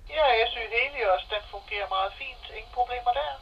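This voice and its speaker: Woman, Danish, higher-pitched, rapid procedural delivery, radio operator, lo-fi VHF radio sound. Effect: VHF marine radio. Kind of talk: brisk radio procedure talk. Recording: band-limited radio speaker with noise.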